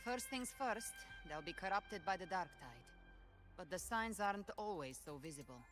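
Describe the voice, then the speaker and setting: A young woman warrior in a fantasy game, cool and commanding. Her phrases are terse and assured, with lively, swinging intonation. Soft music runs underneath. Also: lowish voice, moderate pace. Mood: guarded, serious. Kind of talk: video game voice acting. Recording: music behind.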